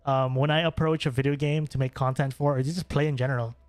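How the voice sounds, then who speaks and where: A man's voice: mid-range voice, fairly even intonation, fast talker, dry recording. A young man from the Philippines, streaming a game and chatting casually with viewers, rambling with many fillers and restarts. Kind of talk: casual gameplay stream chat. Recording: faint music behind voice.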